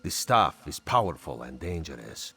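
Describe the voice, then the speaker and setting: An actor playing a sly, genial cat-folk agent in a video game, speaking in a measured, theatrical way. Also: male voice, rumbling low, sing-song, gravelly, bright, forceful tone, heavily acted. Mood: grave, suspicious. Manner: speaks of himself in third person, measured and theatrical. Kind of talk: video game character dialogue.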